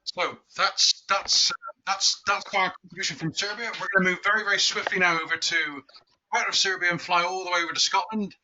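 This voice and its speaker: Bright, brisk male webinar moderator. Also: high voice, steady tone, slight background noise. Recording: dull distant call audio.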